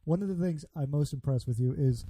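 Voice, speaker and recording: A man hosting a casual interview podcast, talking in a relaxed, rambling way. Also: fairly high pitch, brisk, background noise. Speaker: podcast host.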